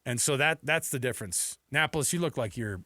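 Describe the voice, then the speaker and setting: A man hosting a sports talk radio show, telling stories and bantering in an animated, emphatic way. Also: mid-range voice, brisk, dry recording. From Texas and Seattle. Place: radio studio. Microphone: close studio microphone.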